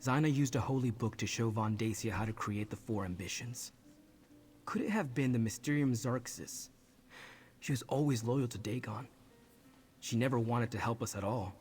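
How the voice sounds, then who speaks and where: A man's voice: medium pitch, normal speed, a little mellow, faint music behind, close to an everyday voice. An actor playing an earnest, apologetic young man burdened with guilt in a video game. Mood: grim, thoughtful.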